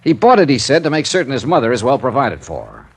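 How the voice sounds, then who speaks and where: A hard-boiled American investigator from a fifties radio mystery. He is dry and sardonic, with a mid-pitched voice that moves up and down freely as he reports his case in blunt, clipped phrases. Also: wildly swooping pitch, quick pace, breathy edge, no echo, full character voice. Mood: frank, dry. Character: tough, wry freelance insurance investigator, male, narrating his cases. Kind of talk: 1950s radio detective acting, clipped hard-boiled narration.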